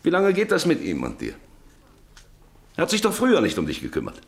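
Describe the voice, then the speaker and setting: A man's voice: on the high side, huge pitch swings, brisk, creaky, a little hiss or hum, full character voice. An actor in a German radio drama playing a stern, domineering middle-aged farmer father who speaks bluntly and commandingly. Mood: angry, domineering. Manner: commanding, blunt, cutting others short.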